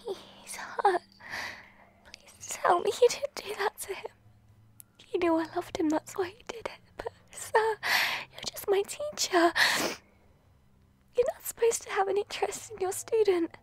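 A woman voicing a frightened young female student held captive, pleading in tearful breathy whispers. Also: very high-pitched, a little slow. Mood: desperate pleading. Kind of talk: audio roleplay drama.

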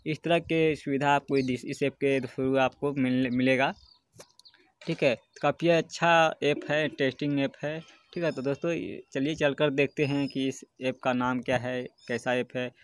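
A man's voice: mid-range voice, fairly even intonation, a little slow. A man presenting a casual step-by-step tutorial as host, often adding a short check-in tag. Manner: repeatedly checks in with a tag phrase.

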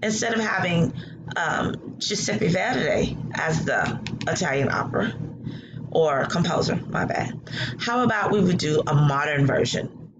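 Animated woman teaching a read-aloud lesson. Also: lowish voice, unhurried, heavy background noise. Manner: animated and encouraging, praising answers. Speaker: teacher reading aloud to a class. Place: living room at home. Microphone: laptop webcam at close range.

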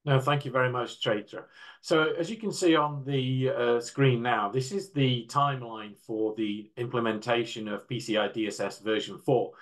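Older man, mid-pitched, expert panellist explaining slides step by step on a video call. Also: fairly even intonation, moderate pace, a bit bright. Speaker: panel speaker from a standards council. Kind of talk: slide presentation in an online panel.

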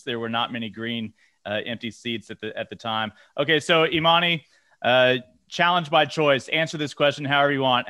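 A middle-aged man hosting a casual video call interview, asking questions in a relaxed, measured way with fillers. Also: medium pitch, smooth, no room sound. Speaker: host.

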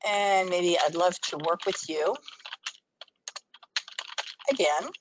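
A woman's voice: on the low side, slow pace, noisy background. A woman presenting a training webinar, explaining things step by step in an instructional screen-share style.

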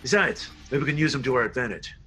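Undercover bad guy boss, sharp voice, really animated delivery, scheming. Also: medium pitch, fast, soft background music. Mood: cold, commanding. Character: smooth, scheming undercover spy villain posing as a boss. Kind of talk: table read acting.

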